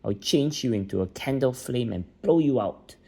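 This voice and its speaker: A man's voice: medium pitch, animated, moderate pace, vocal fry, mellow, breathy edge, voice pushed up. A man voicing a furious, menacing magical village creature in a children's storybook. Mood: furious, threatening. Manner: menacing, exclamatory threats. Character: furious sleepy village folk threatening to transform a boy.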